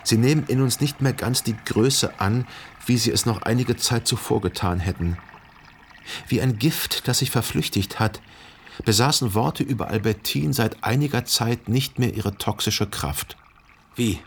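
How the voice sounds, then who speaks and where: An actor playing a brooding, melancholy man who recalls a dead lover in reflective, measured radio drama narration. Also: medium pitch, close to an everyday voice. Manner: reflective, measured, analytical monologue.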